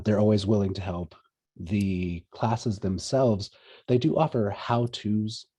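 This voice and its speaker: A male student giving a casual testimonial in a relaxed, earnest way, with many fillers. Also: on the low side, slightly rough.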